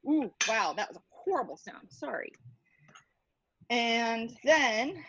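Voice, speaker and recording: Chatty goofy woman doing a cooking demo, pretty high voice, dry close sound, bit muffled. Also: dry recording. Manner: playful, chatty, self-deprecating. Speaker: cooking instructor. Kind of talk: casual hands-on cooking demonstration.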